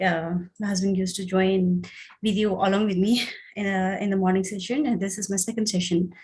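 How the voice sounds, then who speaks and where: A woman's voice: medium pitch, low-quality recording. A woman from Nepal speaks on a group video call in a mid-range voice. She is friendly and a little hesitant, with fillers between her thoughts. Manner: friendly, hesitant, with light laughs. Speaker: participant.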